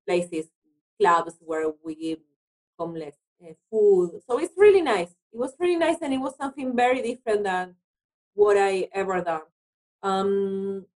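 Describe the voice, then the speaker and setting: A woman's voice: mid-range voice, darkish tone, rough recording. A woman from Argentina with an Argentine accent and Spanish as her first language, speaking hesitant English and repeating words as an interview guest. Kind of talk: conversational interview.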